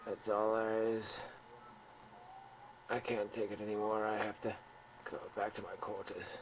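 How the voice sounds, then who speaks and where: A man's voice: fairly low pitch, little pitch movement, unhurried, close to an everyday voice, rough recording. A man playing a grief-stricken soldier, a massacre survivor, in an amateur audio drama with anguished, dramatic delivery. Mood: defeated grief. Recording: homemade 1990s recording, faint background noise.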